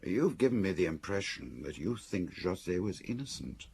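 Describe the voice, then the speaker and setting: An actor playing a detective's dry, probing confidant in a radio drama. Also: male voice, low-pitched, very animated, moderate pace, creaky, a little mellow, husky, heavily acted. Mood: inquiring. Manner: questioning, dry.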